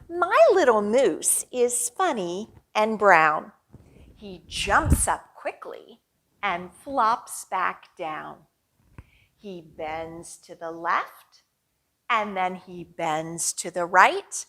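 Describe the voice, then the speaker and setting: A woman hosting a children's storytime as a librarian, with an expressive, sing-song and deliberate delivery for young kids. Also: mid-range voice. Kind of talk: children's storytime, picture book read-aloud and action rhymes.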